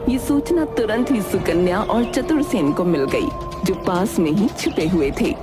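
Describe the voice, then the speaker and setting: A woman's voice: mid-range voice, animated, a little slow, over loud music. A woman reading scripted, dramatic story narration as a storyteller.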